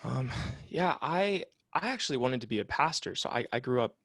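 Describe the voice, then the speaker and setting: A man's voice: mid-range voice, animated, brisk, a bit bright, no echo, studio quality. A man in his late twenties, a psychotherapist, talking reflectively in a casual interview with plenty of fillers and restarts. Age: 20s. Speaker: psychotherapist guest.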